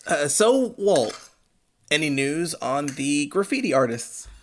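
A man playing a well-meaning, awkward middle-aged father figure in an audio drama, rambling and stammering as he tries to smooth things over. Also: fairly high pitch, very animated, slow. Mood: friendly, chatty. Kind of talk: naturalistic audio drama acting.

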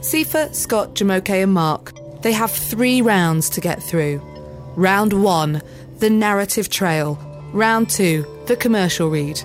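A woman presenter reading a scripted report for a podcast. Her voice is mid-pitched and her delivery upbeat and announcer-like, with faint music under it. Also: slow.

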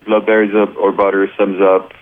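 Very deep-voiced man on a phone line. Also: monotone, moderate pace. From Colombia. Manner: easygoing, many fillers. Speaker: filmmaker and photographer, guest. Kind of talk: casual radio interview. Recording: narrow phone-like radio sound.

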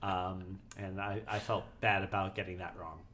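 A man's voice: deep voice, flat delivery, normal speed, sharp tone. A man in his forties co-hosting a podcast, chatty and teasing, often breaking into laughter. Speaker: podcast co-host. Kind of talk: casual chat.

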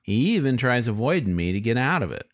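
A man's voice: medium pitch, very animated, fairly quick, dry recording, clean studio sound, lightly acted. A man voicing a restless, defensive young male fox in an audiobook story. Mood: frustrated.

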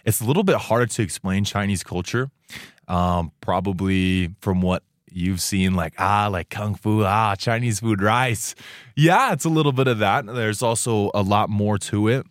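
A man of twenty-one from Taiwan, a student guest chatting casually in a radio studio interview, relaxed and talkative with many fillers and restarts. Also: on the low side, normal speed, dry recording.

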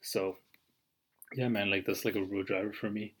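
A man's voice: lowish voice, steady tone, moderate pace, fairly bright tone, a little hiss or hum. A man in his twenties hosting his own podcast, talking casually and rambling with lots of fillers and some swearing. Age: 20s. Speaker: podcast host. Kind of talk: casual solo podcast monologue.

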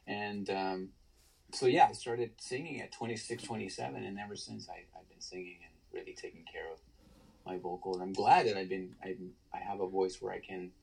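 A man in his thirties with a baritone voice, telling stories with enthusiasm and lots of restarts in a casual podcast interview. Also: steady tone, a little fast, vocal fry, darkish tone, slightly rough, low-quality recording. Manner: enthusiastic storyteller, many restarts. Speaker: guest, tribute band singer.

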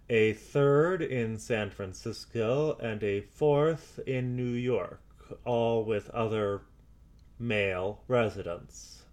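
A careful suspicious investigator doing audio logs for a drama podcast, slow halting talk, lowish voice. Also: male voice. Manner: deliberate, halting, hesitant. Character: wary, methodical male amateur investigator dictating audio logs. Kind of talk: audio log monologue, audio drama.

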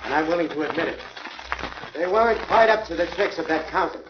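An actor playing a loyal, plainspoken police inspector with a reassuring manner, in 1940s radio acting. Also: male voice, on the high side, animated, fast talker, natural voice. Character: gruff, loyal middle-aged police inspector. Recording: dull noisy 1940s radio transcription.

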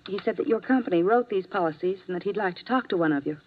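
An actress playing an anxious young nurse in a 1950s radio drama, speaking hesitantly. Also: female voice, medium pitch, fast, lightly acted. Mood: worried. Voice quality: worried, hesitant. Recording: lo-fi vintage radio recording.

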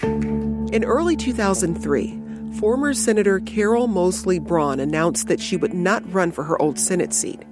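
A woman's voice: lowish voice, over music. Woman narrating a documentary podcast. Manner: measured, clear storytelling delivery. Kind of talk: scripted narration.

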